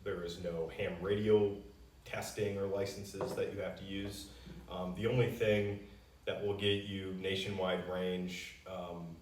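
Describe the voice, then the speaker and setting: A man's voice: sits low, flat delivery, a little slow, a little hiss or hum. A man giving an unscripted, confident promotional explanation, answering customer questions as a company spokesman. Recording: roomy echo, distant mic.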